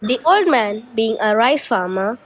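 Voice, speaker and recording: A woman's voice: on the high side, lively intonation, unhurried. A young female student narrating a scripted folk tale for a school show.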